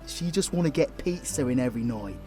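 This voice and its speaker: Man acting a cheeky British villain, very animated. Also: medium pitch, fairly quick, faint music behind, close to an everyday voice. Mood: wry, reflective. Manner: slangy, teasing, affectionate, swears casually. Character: cheeky, flirtatious, protective British male super villain, caring partner. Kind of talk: comfort audio roleplay, intimate and teasing.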